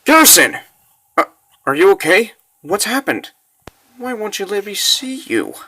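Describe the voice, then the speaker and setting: Worried young fantasy heroine, acted by a man, raised pitch, bright, caring and pleading. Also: huge pitch swings, unhurried, slightly rough, full character voice. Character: anxious, caring young girl. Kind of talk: fantasy comic dub.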